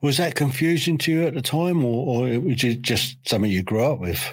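A man's voice: mid-range voice, a little fast, a little hiss or hum. Hesitant man asking questions. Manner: hesitant, often repeats words while forming questions. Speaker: interviewer. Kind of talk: casual conversational interview.

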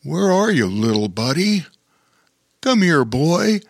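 A man voicing a huge, kindly male bear in a storybook, speaking calmly and gently. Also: a little high, takes it slowly, vocal fry, no room sound, clean studio sound, pitched above the performer's own voice, heavily acted. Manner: calm, warm-hearted.

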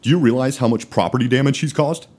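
A man playing a gruff, blunt middle-aged father in a comedy sketch, grumbling and scolding. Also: medium pitch, quick pace, a little mellow, close to an everyday voice. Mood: angry scolding.

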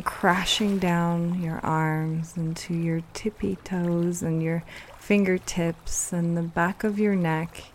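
A woman leading a guided meditation, giving soothing, unhurried instructions with pauses. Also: on the low side, slight background noise.

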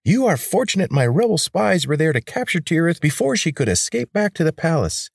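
A man voicing a ruthless male rebel leader with a high, grating voice, in an audiobook. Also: sing-song, brisk, gravelly, slightly rough, no echo, studio quality, full character voice. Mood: commanding. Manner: commanding, menacing.

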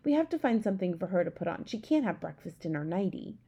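A woman's voice: lowish voice, sing-song, quick pace, vocal fry, mellow, husky, lo-fi sound, heavily acted. A woman doing a poised, regal queen. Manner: formal, poised. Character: composed, regal older queen.

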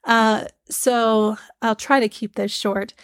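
A woman with a stuffed-up nose hosting a devotional hymn podcast, speaking in a gentle, teacherly way with frequent fillers. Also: a little high, flat delivery, slow, no echo, clean studio sound. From Iowa, USA. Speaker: podcast host.

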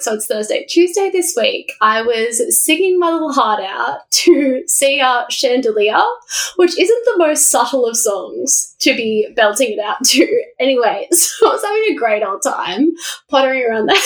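Giggly woman co-hosting a podcast. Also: on the high side. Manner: giggly, animated storyteller. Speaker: podcast co-host, psychotherapist. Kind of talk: casual chat.

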